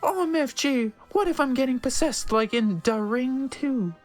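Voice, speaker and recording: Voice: high-pitched, expressive, moderate pace, soft background music, voice pushed up. A narrator voicing an overwrought, melodramatic gothic teenage girl in a comic story reading. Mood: distraught.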